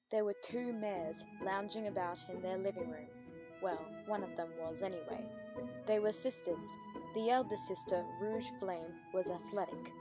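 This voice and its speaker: A woman reading scripted story narration as the narrator of a dramatic reading. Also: medium pitch.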